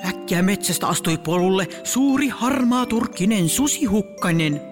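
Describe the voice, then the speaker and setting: A woman's voice: mid-range voice, expressive, music in the background, close to an everyday voice. A woman playing a proud, easily exasperated storyteller, theatrical and prim in a children's comic fairy-tale show. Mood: storytelling.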